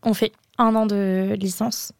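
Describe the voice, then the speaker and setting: A woman in her twenties, a podcast guest speaking casually and eagerly, often pausing on fillers. Her tone is mellow and warm at a middle pitch. The recording is close and dry. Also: flat delivery, no echo. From Andorra. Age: 20s. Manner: hesitant, many fillers, enthusiastic. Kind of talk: casual interview chat.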